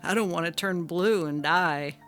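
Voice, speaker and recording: Woman as a neglected husband, low voice, earnest, gently reproachful, dramatic story reading, faint music. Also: unhurried. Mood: hurt, reproachful. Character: Sam, patient, neglected, lovingly pleading husband and father.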